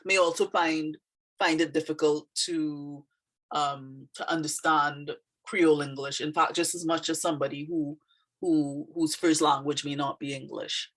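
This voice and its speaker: Woman, Trinidadian accent with a Canadian tinge, low voice, slow pace, thoughtful, hesitant, casual explainer. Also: fairly even intonation, fairly bright tone. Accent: Trinidadian with a slight Canadian tinge. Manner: thoughtful, hesitant, frequent fillers. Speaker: poet explaining her poem.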